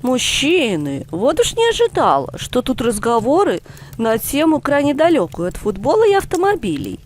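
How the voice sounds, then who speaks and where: A woman's voice: sits high, huge pitch swings, moderate pace, a little hiss or hum, voice pushed up. A woman voicing an older grandmother in a storybook, a teasing and knowing theatrical storyteller. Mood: teasing surprise.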